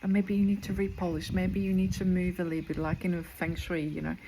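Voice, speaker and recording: A woman's voice: on the low side, little pitch movement, brisk. A woman in her forties from Croatia, with a Croatian accent, giving an earnest motivational talk as a spiritual coach, repeating phrases for emphasis. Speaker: spiritual coach and medical intuitive. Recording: noticeable background noise.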